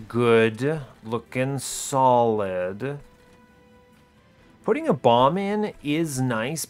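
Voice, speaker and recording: Low-voiced man talking through game strategy. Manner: self-questioning, talks through each decision. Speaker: gaming video host. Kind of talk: casual strategy game commentary, thinking aloud.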